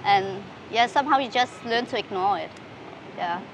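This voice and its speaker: A woman's voice: fairly high pitch, animated, moderate pace. Hesitant woman, noisy street. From Denmark. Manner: hesitant, many fillers and restarts. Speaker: interview guest. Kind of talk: casual interview answers. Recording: street recording with clear background noise.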